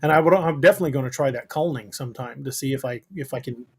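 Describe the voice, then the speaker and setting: A man's voice: mid-range voice, racing, breathy edge. A man in a casual podcast chat who talks eagerly and stammers into restarts.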